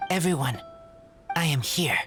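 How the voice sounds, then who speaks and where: Feral young boy, low voice, slow halting broken phrases, earnest, loyal, game cutscene. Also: animated. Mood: terse. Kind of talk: video game voice acting. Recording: background music under dialogue.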